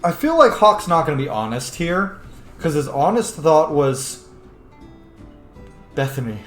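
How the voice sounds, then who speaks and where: A gamer narrates a playthrough casually and playfully. The mid-pitched voice is expressive and swings freely. It sounds roomy, with faint game music behind. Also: normal speed. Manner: playful, reacting to characters. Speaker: gamer, channel host.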